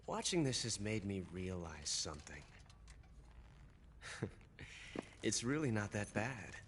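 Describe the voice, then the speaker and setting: Cocky military interrogator, taunting, theatrical, swooping intonation, long reverb, game cutscene. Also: male voice, on the low side, sing-song, takes it slowly. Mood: gloating. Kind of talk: dramatic video game cutscene acting. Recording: long reverb, loud background noise.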